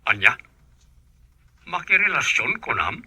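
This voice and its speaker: A man's voice: mid-range voice, sing-song, unhurried, pushed and bright, husky, dry recording, exaggerated voice. A man with a Filipino Ilocano accent playing an inquisitive uncle in a radio drama. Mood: surprised, probing. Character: inquisitive uncle on a phone call.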